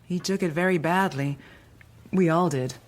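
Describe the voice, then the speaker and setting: A wealthy middle-aged mother with icy poise acted by a woman in radio drama dialogue. Her voice is notably low for a woman. She speaks with polish and polite insinuation. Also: moderate pace, lightly acted. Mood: gracious, guarded.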